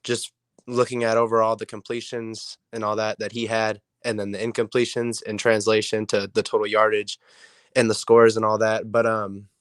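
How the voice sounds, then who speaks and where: Raspy hoarse young man, rambling. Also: on the low side, flat delivery, normal speed, a bit bright, dry recording. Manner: rambling, many ums. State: hoarse from illness. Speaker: player guest. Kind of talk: casual interview answers.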